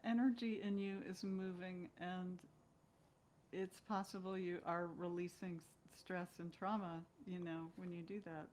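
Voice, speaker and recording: A woman's voice: lowish voice, fairly even intonation, unhurried, darkish tone, a little hiss or hum. A woman speaking as a guest in a casual conversation. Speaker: guest, actress.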